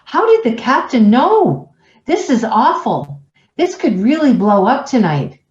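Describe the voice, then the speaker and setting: A woman's voice: a little high, very animated, measured pace, a little mellow. Radio drama wife, female actor: lonely, anxious, theatrically proper, recorded dull in an echoey room. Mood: panicked. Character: anxious, lonely middle-aged wife with a secret.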